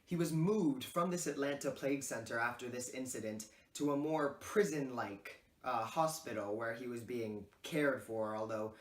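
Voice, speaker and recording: A man's voice: medium pitch, normal speed, few pauses. A man giving a formal, earnest scripted narration as presenter of a reader's theater piece. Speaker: presenter of a literature class project. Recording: roomy echo.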